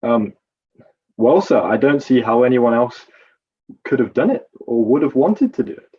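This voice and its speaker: A man's voice: mid-range voice, animated, moderate pace, breathy edge, highs cut off. A man playing a nervous, evasive Roman guard corporal testifying in a courtroom drama, hesitant and deferential. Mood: evasive, uneasy.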